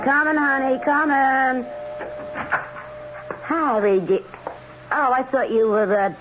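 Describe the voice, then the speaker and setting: A woman's voice: high voice, steady tone, slow, dull audio, over music. An actress playing an affectionate, gushing woman in an old-time radio drama. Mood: breezy. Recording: noisy, band-limited old radio recording.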